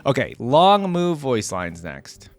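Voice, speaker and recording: A man's voice: mid-range voice, wildly swooping pitch, slow pace. A man hosting a casual game reaction video, talking in an informal, slangy, effusive way. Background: music.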